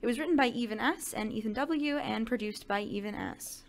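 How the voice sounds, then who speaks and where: Slightly high-voiced woman reading credits. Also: brisk. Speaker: credits announcer. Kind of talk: scripted credits read.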